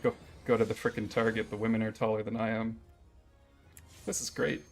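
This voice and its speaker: A man's voice: fairly low pitch, level tone, brisk, vocal fry. A man from Minnesota with a Minnesotan accent, joking around in casual voice chat with his raid group. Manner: joking, easygoing. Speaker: raid member. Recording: game music faint behind voice chat.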